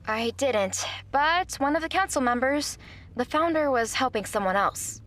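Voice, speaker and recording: High, bright, gushing convert. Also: female voice, lively intonation. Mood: awestruck. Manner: emphatic, gushing, drawn-out key words. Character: gushing female radio interviewee, excited convert to a movement. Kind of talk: audio drama acting.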